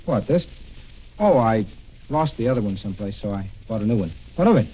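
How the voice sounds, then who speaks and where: A man's voice: on the low side, very animated, brisk, dark tone, slightly rough, low-quality recording. An actor playing a bitter, hot-headed young man, defensive and sneering, in 1940s radio style.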